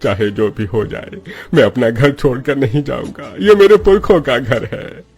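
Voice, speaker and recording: A man's voice: medium pitch, lively intonation, moderate pace, a little mellow, breathy edge, soft background music, voice pushed up. Man acting anguished stubborn old farmer. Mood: anguished, defiant. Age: older. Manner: lamenting, pleading with God. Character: elderly poor farmer patriarch, anguished and stubborn. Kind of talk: melodramatic Hindi audio story acting.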